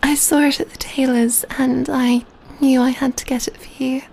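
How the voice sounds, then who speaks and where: A woman playing a gentle, doting female vampire in an ASMR roleplay, speaking softly and tenderly into a close mic, often near a whisper. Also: high-pitched, little pitch movement, normal speed, gravelly, slightly rough, heavily acted. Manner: tender, cooing, soothing, often dropping to whispers. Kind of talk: ASMR roleplay, soft intimate speech.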